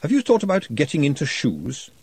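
A man voicing a brisk, practical woman who runs a kitchen, in a storybook reading, matter-of-fact. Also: a little high, huge pitch swings, darkish tone, slightly rough, no echo, pitched above the performer's own voice, heavily acted.

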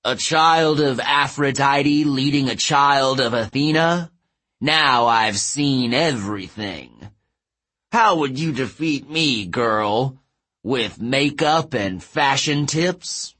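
A man voicing a huge, cruel male giant in a storybook, growling out slow, drawn-out taunts. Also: medium pitch, sharp tone, voice pushed up. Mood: mocking.

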